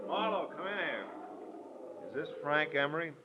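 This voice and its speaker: An American actor playing a steady police lieutenant in 1940s radio crime drama, brisk, matter-of-fact and authoritative. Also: male voice, a little high, lightly acted. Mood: grave. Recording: dull old radio recording, clear hiss.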